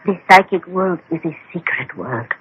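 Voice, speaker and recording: An actress in a radio drama playing a deferential, solicitous fortune teller with a formal, mysterious manner. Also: female voice, on the low side, lively intonation, moderate pace, phone-quality sound, a little hiss or hum, natural voice. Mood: grave concern.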